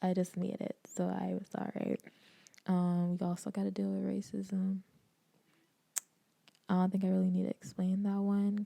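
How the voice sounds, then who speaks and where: Gravelly, dark-voiced woman podcasting. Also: mid-range voice, no room sound. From Bahamas. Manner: candid, animated, laughs often, slangy asides. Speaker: podcast host. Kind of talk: casual solo podcast talk. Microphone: desk microphone.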